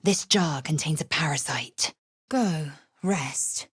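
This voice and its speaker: An actress voicing a fierce, proud githyanki warrior woman in a game, speaking in a clipped, commanding way and often dropping low and hushed. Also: takes it slowly, slightly rough, no room sound, clean studio sound, exaggerated voice. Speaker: game character. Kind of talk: studio game voice acting.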